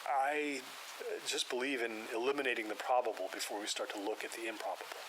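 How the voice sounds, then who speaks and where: A man playing a calm, skeptical paranormal investigator in an audio drama, explaining in a measured, clinical way. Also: medium pitch, close to an everyday voice. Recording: hiss and rain noise.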